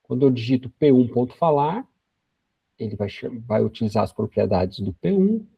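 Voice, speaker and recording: Man in his forties, programming teacher, gravelly husky voice, dull lo-fi recording. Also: medium pitch, lively intonation, moderate pace, no room sound. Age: 40s. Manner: explains step by step, with frequent tag questions and restarts. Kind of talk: screen-recorded coding lesson. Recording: dry, dull lo-fi sound.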